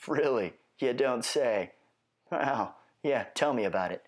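A man's voice: medium pitch, lively intonation, unhurried. A nasal-sounding man getting over a head cold, hosting his solo podcast with rambling, wry asides. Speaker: podcast host.

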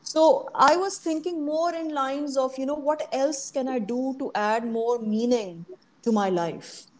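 A woman speaks as a guest in a relaxed interview, earnest and thoughtful. Her slightly high voice pauses often on "uh" and "you know" while she searches for words. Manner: earnest, reflective, hesitant with fillers. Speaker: guest, hypnosis coach. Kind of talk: casual interview chat.

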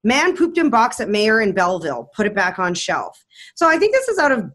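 A woman's voice: mid-range voice, huge pitch swings, fairly quick, fairly bright tone. A woman co-hosting a radio show in casual chat, talking with lots of fillers and restarts.

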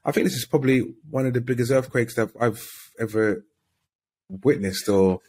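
Male co-host, husky, measured, tends to repeat himself. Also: medium pitch, a little mellow. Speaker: podcast co-host. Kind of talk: casual chat.

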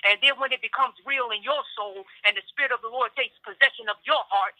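A man's voice: extremely high pitch, sharp tone, slight background noise. A guest preacher, a man, preaching fervently with rhetorical questions over a phone line.

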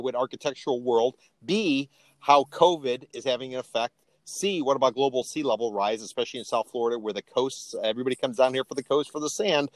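A man's voice: mid-range voice, no room sound. A man hosting an interview podcast in a casual, chatty, informal way with emphatic delivery. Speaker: podcast host.

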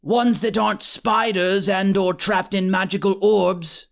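A man's voice: high-pitched, fairly even intonation, measured pace, creaky. A man voicing an eager, impatient young boy in an audiobook, whiny and insistent. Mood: sulky. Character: eager impatient tiny schoolboy, pleading and stubborn. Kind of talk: audiobook character acting.

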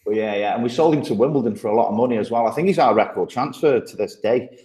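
A man's voice: medium pitch, fast talker, a little mellow, roomy sound. A man from the English Midlands with a thick Midlands accent, talking casually as a podcast guest. He chats loosely, often laughing, with lots of ums and restarts. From Stoke-on-Trent, England. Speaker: guest, agency partnership manager.

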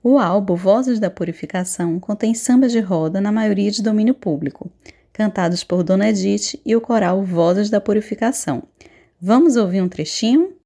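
A woman presenting a scripted educational piece in a calm, informative reading voice. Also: medium pitch, moderate pace.

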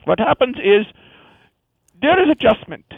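A man doing a high rasping Indian woman. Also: big pitch swings, dry recording, exaggerated voice. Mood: rambling. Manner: halting, broken English with many fillers. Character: woman amputee from India with transplanted hands. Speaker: phone-in comedy caller. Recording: tinny phone line.

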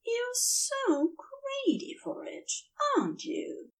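A woman voicing a gentle, doting male angel in a podfic reading, speaking in a hushed, soothing murmur. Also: very high voice, very animated, slow, distant mic, voice pushed up, exaggerated voice. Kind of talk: podfic reading of fan fiction.

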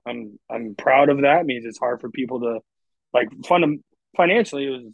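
A man's voice: mid-range voice, moderate pace, vocal fry, no echo. A man in his twenties, a podcast guest talking candidly and rambling, filling his speech with "like". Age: 20s. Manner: candid, rambling, heavy use of "like". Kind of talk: casual podcast conversation. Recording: dry, dull lo-fi audio.

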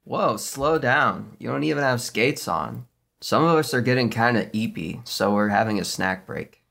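A woman's voice: rumbling low, animated, moderate pace, vocal fry, fairly bright tone, exaggerated voice. A woman playing a sleepy, blunt teenage sister in a comedic table read, with slangy, laid-back grumbling.

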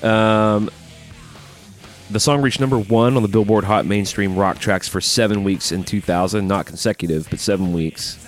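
A man's voice: on the low side, fairly even intonation, moderate pace. A man co-hosting a music podcast, talking casually and informally like an enthusiastic fan. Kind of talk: casual chat about rock music videos.

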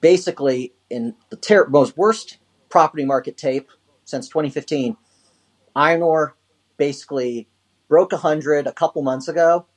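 A man's voice: fairly high pitch, normal speed. Chatty man with a hesitant delivery. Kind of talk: casual market commentary.

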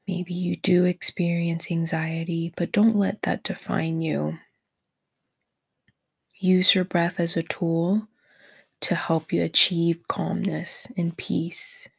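A woman leading a guided meditation in a soft, breathy, near-whispered voice, gentle and encouraging toward the listener. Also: fairly low pitch. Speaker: meditation guide, podcast host.

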